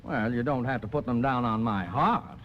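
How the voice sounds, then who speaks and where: A man's voice: mid-range voice, moderate pace, lightly acted. An American man playing a wheedling, flustered showbiz promoter who stammers ingratiatingly in a 1940s radio comedy. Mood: wheedling, wounded. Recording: muffled, noisy 1940s radio broadcast.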